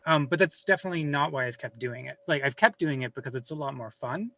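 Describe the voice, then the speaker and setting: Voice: medium pitch, lively intonation, quick pace, pushed and bright, low-quality recording. A guest on a video call chatting casually, rambling and self-deprecating, with casual swearing, recorded at home. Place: home room with a server rack.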